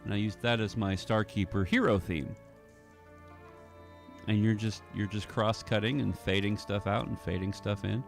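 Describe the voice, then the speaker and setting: A man with a lowish voice and plenty of vocal fry talks casually through his editing work. He thinks aloud in fragments as he goes. Film score music plays faintly behind him. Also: steady tone, moderate pace. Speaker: audio drama creator.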